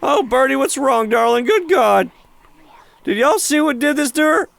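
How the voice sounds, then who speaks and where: A man's voice: very high voice, animated, moderate pace, gravelly, fairly bright tone, voice pushed up, full character voice. A man voicing an irate, grieving rural chicken farmer in a game read-aloud, exclaiming in folksy speech. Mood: alarmed, grieving.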